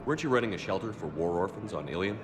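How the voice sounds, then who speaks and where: Give me a calm, dry-witted game commander with a very deep voice. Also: male voice, rapid-fire, noisy background. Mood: steady. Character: steady, dry human soldier commander. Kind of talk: video game cutscene voice acting.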